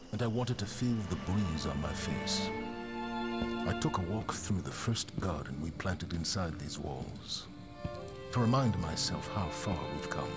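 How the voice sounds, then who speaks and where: An actor playing a weary, solemn veteran military commander in a video game monologue, speaking in a measured, reflective way over music. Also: male voice, low voice. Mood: wistful, reflective. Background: prominent music.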